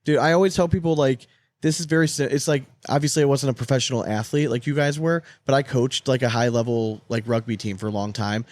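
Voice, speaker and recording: A man's voice: mid-range voice, steady tone, fast, darkish tone, no room sound. A man co-hosting a sports podcast in a casual, slangy, sometimes profane way, telling stories with animation. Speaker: podcast co-host.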